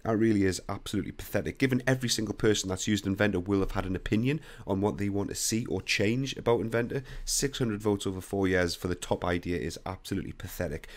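A young man with a croaky voice, bluntly talking through a CAD software screen recording. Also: on the low side, fast talker, few pauses. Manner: blunt, opinionated, conversational. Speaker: CAD software tutorial host.

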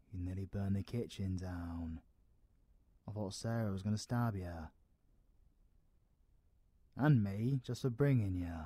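A man's voice: on the low side, warm tone, no room sound. A British man playing a cocky, foul-mouthed yet protective supervillain in an audio roleplay. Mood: low, teasing. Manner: slangy, swearing, swinging from murmurs to outbursts. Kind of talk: audio roleplay, intimate ASMR acting.

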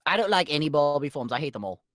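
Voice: medium pitch, sing-song, quick pace, fairly bright tone, dry recording, clean studio sound. A performer playing a vain, snide alien tyrant in a fan question show, with smug, sardonic, offhand delivery. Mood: dry, offhand.

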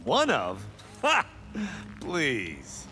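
A brash young warrior monk in a fighting game. He is boastful and challenging, with hugely animated pitch over clear music. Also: drawn-out words, heavily acted. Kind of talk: fighting game character dialogue.

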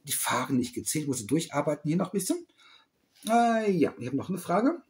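A middle-aged man talks close to a webcam in a small room, rambling and restarting as he reads out quiz questions. His voice sits a little high for a man and swings widely up and down. He teases and backtracks often. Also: sing-song, a little fast, darkish tone. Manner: rambling, self-correcting, teasing. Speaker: toy collector vlogger. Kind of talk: casual quiz vlog.

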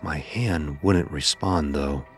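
A man narrating a scripted first-person horror story in a grave, deliberate storytelling manner. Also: rumbling low, soft background music.

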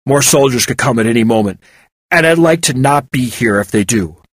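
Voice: medium pitch, animated, fairly quick, breathy edge, no room sound, studio quality. A narrator voicing a tired, impatient young man eager to move on. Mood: weary. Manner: weary, impatient.

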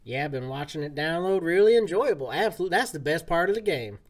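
A man's voice: on the high side, expressive, fast. A man, chatting casually and folksily with his chat while streaming a game. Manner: folksy cowboy-style talk. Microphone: desk mic, game audio from a speaker.

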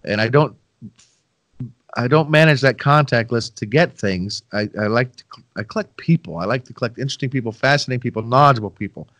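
Rambling middle-aged podcast host, dry sound. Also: male voice, mid-range voice, a little fast, fairly bright tone, no echo. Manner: rambling, self-deprecating, folksy asides to listeners. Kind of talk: casual interview chat. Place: home room, video call. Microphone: headset with boom mic.

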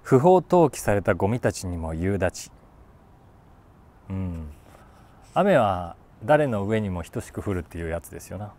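A man in his forties talking casually about a book, thinking aloud and reading short lines with animated emphasis. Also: low-pitched, normal speed, pauses often. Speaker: YouTube host, voice actor. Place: outdoors on a city rooftop.

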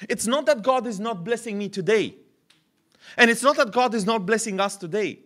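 A man in his thirties preaching a sermon at a podium mic in a church hall, animated and gesturing, often repeating words as he builds a point. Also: high-pitched, normal speed. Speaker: pastor. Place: church hall with curtained stage.

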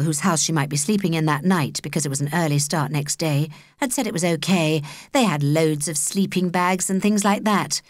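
A woman with a British accent narrating a children's audiobook in an expressive, measured storytelling manner. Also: lowish voice, no echo, studio quality. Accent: British English.

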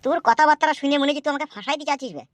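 A man's voice: very high voice, very fast, a bit bright, no echo. A man voicing an anxious, foul-mouthed young village troublemaker in a comedy cartoon, bluntly arguing in a rural West Bengal accent. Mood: tense, bickering. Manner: blunt, argumentative, rambling.

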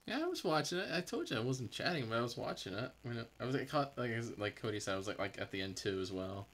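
Quick, gravelly-voiced man chatting. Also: mid-range voice, big pitch swings, a bit bright. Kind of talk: casual chat, brief reactions. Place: indoors at home, on a video call. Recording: faintly noisy video call.

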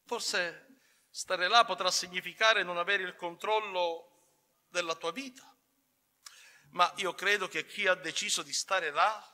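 A male evangelical preacher with a high, piercingly bright voice delivers a sermon in a reverberant hall. His delivery is emphatic and fervent, built in deliberate phrases. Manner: emphatic, swinging between measured phrases and fervent shouts. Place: church hall, indoors at a pulpit. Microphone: pulpit gooseneck microphone.

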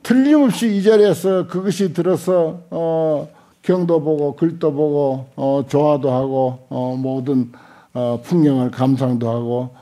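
An elderly monk, a man, teaches scripture in a soft, mellow voice pitched a little high. He speaks in short halting phrases into a desk microphone and repeats key points with emphasis. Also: fairly even intonation. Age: older, 70s or above. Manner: unhurried, halting with frequent interjections, emphatic repetition. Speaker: Buddhist monk, lecturer. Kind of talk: Buddhist scripture lecture. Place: indoor lecture hall with seated audience. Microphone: desk stand microphones.

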